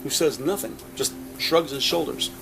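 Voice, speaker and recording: A man's voice: a little high, normal speed, gravelly, music in the background, poor audio. A man narrating a scripted audio drama in the present tense.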